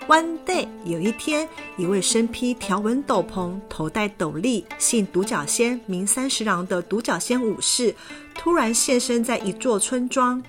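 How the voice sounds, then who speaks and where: A woman narrating a children's fairy tale in a lively, expressive way. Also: fairly high pitch, a little slow. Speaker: storyteller. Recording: background music.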